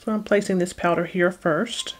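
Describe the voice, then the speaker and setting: A woman's voice: lowish voice, steady tone, normal speed, soft background music. A middle-aged woman casually talking viewers through a makeup tutorial step by step, in an explanatory way. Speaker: beauty vlogger.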